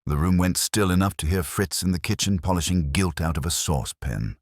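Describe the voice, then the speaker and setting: A man's voice: low-pitched, a little fast, a little mellow, no echo, clean studio sound. A man reading a first-person hardboiled detective story with a wry, wisecracking delivery. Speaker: narrator.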